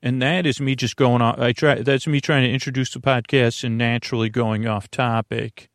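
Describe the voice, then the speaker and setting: A man with a creaky voice hosting a sleep podcast in a meandering, mumbling, self-deprecating monologue full of stumbles and tangents. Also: medium pitch, steady tone, moderate pace, dry recording, studio quality. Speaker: podcast host. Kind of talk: rambling, conversational bedtime sleep podcast monologue.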